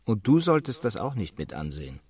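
Blunt, lively-pitched colleague. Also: male voice, brisk, slightly rough. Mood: blunt, consoling. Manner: blunt, explanatory, firm. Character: blunt, matter-of-fact male colleague of a programmer. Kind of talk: radio drama acting.

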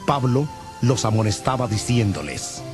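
A man narrating scripture as a scripted audio Bible, in a measured, solemn manner. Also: medium pitch, very animated. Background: music.

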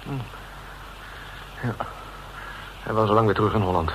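An actor playing a frightened, guilt-ridden man in a Dutch radio drama, confessing haltingly with stammers and repeated words. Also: lowish voice, steady tone, close to an everyday voice. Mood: agitated, defensive. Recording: noisy old radio drama recording.

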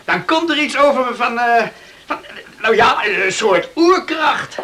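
A man's voice: extremely high pitch, lively intonation, a little slow, bright, forceful tone, heavily acted. A male actor playing an eager, hardworking and confident young farmer's son in a radio play with some background noise. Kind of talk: radio drama dialogue. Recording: noisy old radio play recording.

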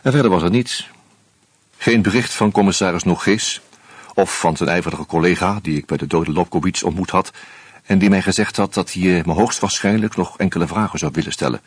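A man narrating a radio drama in the first person, measured and reflective. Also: sits low, breathy edge, dry recording.

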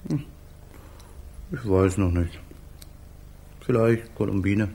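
Stubborn painter husband, low voice, gruff, tender underneath, 1950s German radio play. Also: male voice, slow pace, warm tone. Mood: evasive.

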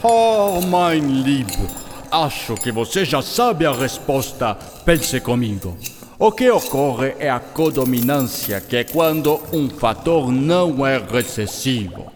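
A man's voice: medium pitch, expressive, slow pace. Man acting as eccentric scientist, German accent, patient enthusiastic teacher, radio play, long reverb, music underneath. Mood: excited, playful. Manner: didactic, peppers speech with German words. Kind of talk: scripted radio drama dialogue.

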